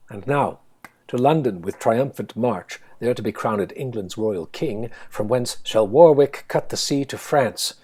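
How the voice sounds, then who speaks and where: A man plays a stern, assured warlord earl in Shakespearean audio drama. The voice is rough, husky and fairly low, declaiming his commands with confidence. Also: a little mellow. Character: stern, forceful medieval kingmaker earl.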